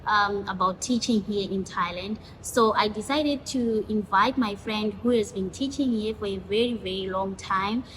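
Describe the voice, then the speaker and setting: A woman's voice: medium pitch, normal speed, few pauses, a bit bright. A woman hosting her own video channel, chatting casually and reading questions to a guest. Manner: chatty, asks questions from a list. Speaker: YouTube host. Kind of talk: casual vlog interview. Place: living in Thailand. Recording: echoey room with long reverb and noise.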